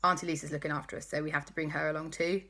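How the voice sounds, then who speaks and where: A woman voicing two excited young boys who answer eagerly in a bedtime story. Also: low-pitched, racing, full character voice.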